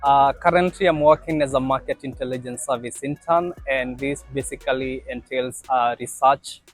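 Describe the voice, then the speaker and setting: A man's voice: mid-range voice, moderate pace, faint music behind. A man from Kenya, a student intern, speaking plainly and matter-of-factly.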